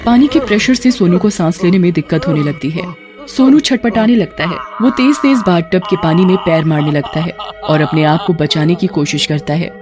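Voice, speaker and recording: Male narrator, dramatic storytelling, high voice, music underneath. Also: normal speed.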